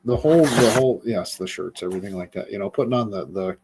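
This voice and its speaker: A man with a husky voice co-hosting a casual barbecue live stream, playful and teasing in his banter and plugs. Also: lowish voice, fast talker, background noise. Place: video call on a live stream.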